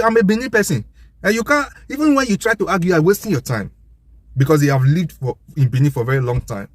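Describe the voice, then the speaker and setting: A man's voice: on the high side, a little mellow, breathy edge, dry recording. A man with a Nigerian accent giving an impassioned, informal monologue, assertive and emphatic, often repeating his points.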